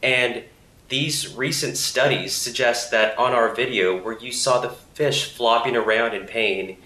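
A man's voice: mid-range voice, measured pace, pushed and bright, roomy sound, poor audio. A man in his thirties, an animal advocacy campaign coordinator, giving earnest, assured interview answers into a handheld mic in an office. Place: office room indoors.

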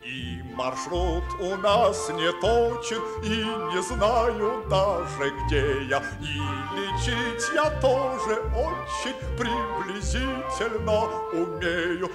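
An actor playing an absent-minded, careless ship's doctor in a children's radio play, with theatrical, sing-song delivery. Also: male voice, high-pitched, animated, very slow, hardly any pauses, loud music behind the voice, heavily acted. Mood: breezy singing. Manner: theatrical, half-sung verse, muttering asides.

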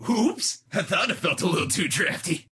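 A man's voice: high voice, expressive, normal speed. A man voicing a manic masked cartoon trickster, a theatrical, wisecracking showman and prankster. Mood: showy. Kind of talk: exaggerated comic-book cartoon dub.

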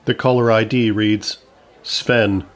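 A man's voice: fairly low pitch, fairly even intonation, takes it slowly. A man reading scripted narration for a comedy audio drama. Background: music.

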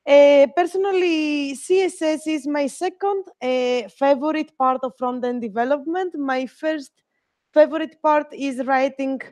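High-voiced young woman from Greece lecturing. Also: measured pace, darkish tone, dry recording. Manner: friendly, with hesitant fillers. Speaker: guest lecturer. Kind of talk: live online lecture.

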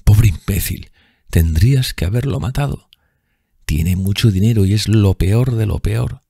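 A haggard woman from a seedy tavern in a storybook, sneering and bitter, as a man puts her on. The voice is raised and husky with a gravelly grain. It is recorded dry and close. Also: on the low side, measured pace, dark tone, no echo, voice pushed up, heavily acted. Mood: scornful. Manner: mocking, whispering, bitter.

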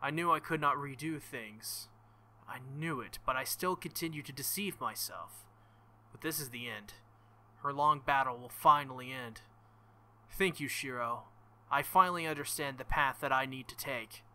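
A man reading aloud as a stern, earnest young woman knight-king, in formal, measured speech without contractions. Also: medium pitch, sharp tone. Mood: solemn. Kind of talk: reading visual novel dialogue aloud.

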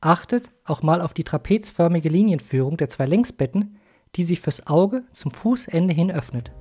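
Man, review voice-over, mellow and dark tone, a bit husky, informal, addressing viewers. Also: a little high, expressive, moderate pace. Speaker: video host and reviewer. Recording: music behind the voice. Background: music.

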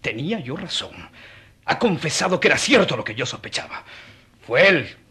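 Man acting a sharp, raspy, furious father. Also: fairly high pitch, very animated, moderate pace, heavily acted. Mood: scornful. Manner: scornful, domineering. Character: angry, stern, unforgiving merchant father. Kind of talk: audio drama acting.

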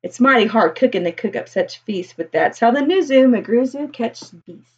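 A woman's voice: a little high, lively intonation, normal speed, darkish tone, slightly rough, muffled recording. A woman in her thirties reading a children's picture book aloud expressively, with a singsong, rhythmic delivery. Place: small indoor room with bookshelves.

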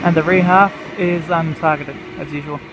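A man's voice: fairly high pitch, steady tone, normal speed. A man with an Australian accent, giving casual vlog commentary on a station platform and naming the trains in a matter-of-fact way. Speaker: train vlogger. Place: outdoor railway station platform. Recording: outdoor camera audio with train noise. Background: passing trains and station noise.

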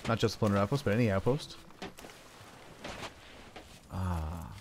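A man's voice: on the low side, measured pace, creaky, noisy background. A man hosting a gaming video, thinking aloud in casual, playful commentary with frequent exclamations. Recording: game music under the voice. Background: game music.

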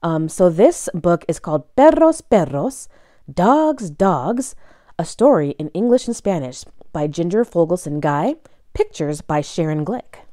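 A woman reading a children's picture book aloud in a bilingual read-aloud style, expressively and deliberately, phrase by phrase. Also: on the low side, sing-song, warm tone, dry recording, studio quality.

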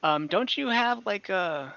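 A man running a tabletop game as dungeon master over a video call, relaxed and hesitant, thinking aloud with many fillers. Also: high-pitched, a little slow, gravelly. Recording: dull lo-fi video call audio.

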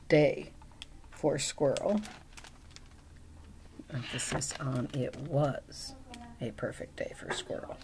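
Low-voiced middle-aged woman reading a picture book. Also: noisy background. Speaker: storytime reader. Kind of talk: picture-book read-aloud with chatty asides. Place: small room at home.

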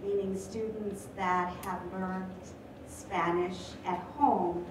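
A woman's voice: medium pitch, fairly even intonation, slow, background noise. A woman professor of Spanish asking a question from the audience, hesitant with many ums. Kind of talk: audience question at live event. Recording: echoey hall, far from mic.

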